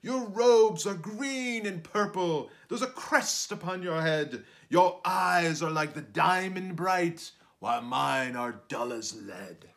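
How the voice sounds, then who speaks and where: A man's voice: sits high, expressive, slow pace, creaky, few pauses, a bit bright, voice pushed up, heavily acted. A man voicing a cunning male spider villain, courtly and coaxing, in a storybook read-aloud. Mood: singing out flattery.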